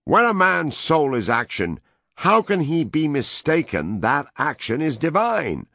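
A man voicing a domineering male world president, loud, preaching and dictatorial. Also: a little high, sing-song, measured pace, vocal fry, no room sound, clean studio sound, pitched above the performer's own voice, exaggerated voice. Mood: triumphant.

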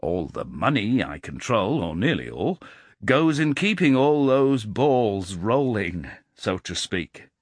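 A man's voice: lowish voice, very animated, slow, breathy edge, dry recording, studio quality, full character voice. A man voicing a middle-aged businessman, blustering and trying not to sound condescending.

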